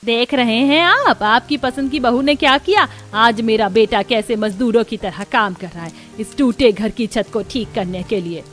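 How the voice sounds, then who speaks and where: A woman's voice: on the high side, moderate pace, few pauses, fairly bright tone, natural voice. Woman as a spiteful, scolding older mother-in-law. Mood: bitter.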